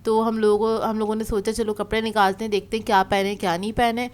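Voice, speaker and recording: A woman's voice: medium pitch, little pitch movement, quick pace, clear, resonant tone. A woman with a sore throat chatting in a casual, explanatory way as she narrates a vlog about her day. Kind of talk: casual conversational vlog voice-over.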